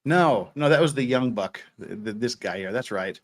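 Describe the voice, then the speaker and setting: A male livestream host with a very animated, swooping delivery at an average pitch. He chats casually and jokingly, switching between banter and dramatic read-alouds of transcripts. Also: normal speed. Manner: jokey, animated.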